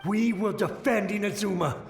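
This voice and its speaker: A man's voice: high-pitched, normal speed, creaky, reverberant, faint music behind. A man playing a battle-weary, desperate but loyal soldier in a video game, strained and urgent. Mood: alarmed.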